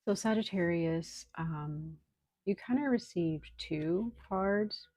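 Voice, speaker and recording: A woman with a low, slightly hoarse voice, under the weather with a cold, reads tarot for her viewers. She talks in an unhurried, reassuring way and fills pauses with ums. The sound is dry and close, with no room echo. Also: darkish tone. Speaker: tarot reader. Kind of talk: casual tarot reading to viewers.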